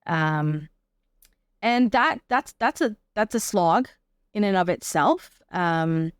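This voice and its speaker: Lower-voiced woman guest, reflective, dry recording. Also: expressive, takes it slowly, clear, resonant tone, dry recording. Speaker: podcast guest, actor and advocate. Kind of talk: conversational interview, personal account.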